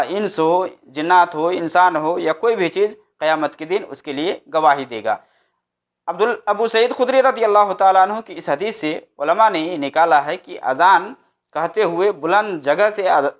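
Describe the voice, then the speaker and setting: A man giving an Islamic religious lecture, explaining step by step and repeating phrases for emphasis. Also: high voice. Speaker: Islamic preacher. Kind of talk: religious lecture with scripture quotations.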